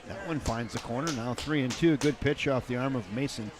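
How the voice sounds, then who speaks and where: An older man doing play-by-play for a baseball game, chatty and folksy, with light jokes between pitches. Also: a little fast. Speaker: play-by-play announcer. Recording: crowd noise in the background.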